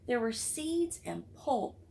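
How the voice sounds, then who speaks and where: Creaky-voiced woman reading a storybook aloud. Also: a little high, takes it slowly, a little hiss or hum. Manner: expressive, with chatty asides to listeners. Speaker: read-aloud book club reader. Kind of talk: children's storybook read-aloud.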